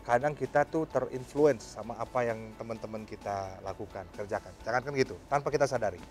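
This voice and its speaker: A man's voice: medium pitch, a little fast, a little mellow, soft background music. A man, a pastor giving conversational religious advice informally, with some English words mixed in. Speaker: pastor answering questions.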